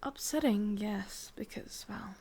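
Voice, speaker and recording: A woman with an English accent voicing a sadistic, lovesick witch in a whispered, intimate ASMR roleplay, murmuring slowly and haltingly. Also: mid-range voice, a little mellow. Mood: conflicted, tender menace. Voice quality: breathy, whispery. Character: sadistic, lovesick young witch, wistful and possessive.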